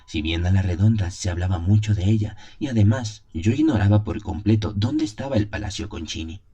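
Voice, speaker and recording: Male narrator for gothic audio fiction: very low, mellow voice, solemn theatrical delivery, music underneath. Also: a little fast, highs cut off. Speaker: narrator of an audio horror story. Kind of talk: dramatic scripted first-person narration of a gothic tale. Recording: music behind the voice.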